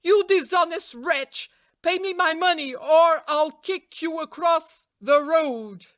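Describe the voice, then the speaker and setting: A woman's voice: very high voice, takes it slowly, no room sound, pitched above the performer's own voice. A woman voicing a put-upon but sly donkey in a storybook, with a reasoning, wheedling manner. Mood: furious.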